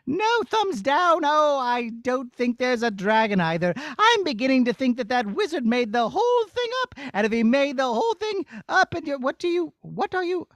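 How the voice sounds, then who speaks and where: A performer voicing an excitable, skittish princess who talks to children in a flustered way in a puppet show. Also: sits high, moderate pace, few pauses, no echo, heavily acted. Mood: puzzled, chatty. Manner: exclamatory, flustered, addressing children directly.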